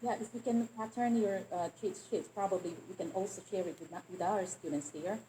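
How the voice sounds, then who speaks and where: A woman's voice: medium pitch, brisk, warm tone, slight background noise, poor audio. A woman teacher in a discussion, speaking hesitantly with many restarts and fillers. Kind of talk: conversational Q&A.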